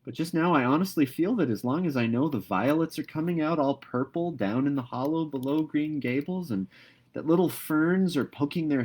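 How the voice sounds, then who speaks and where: A man's voice: medium pitch, a little mellow, pitched above the performer's own voice, natural voice. A man plays a teenage schoolgirl from a storybook, ardent and dramatic. The voice is lifted above his usual talk, and the phrasing is earnest and flowery. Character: dreamy, ardent, dramatic teenage girl student.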